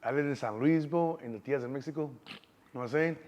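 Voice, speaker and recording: A man in his thirties with a Chicago accent talks fast and loose as an interview guest. His voice sits at a middle pitch, and he keeps dropping in "you know what I'm saying" as he goes. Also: a little mellow. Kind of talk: casual street interview.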